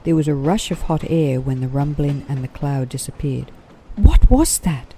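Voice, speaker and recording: A woman's voice: a bass voice, steady tone, moderate pace, dark tone, a little hiss or hum. A woman narrating a children's story in a scripted read-aloud style.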